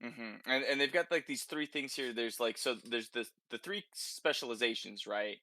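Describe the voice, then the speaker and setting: Bright-voiced man chatting casually on a podcast. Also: mid-range voice, normal speed, no room sound. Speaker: podcast co-host presenting game details. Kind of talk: casual podcast discussion of a video game.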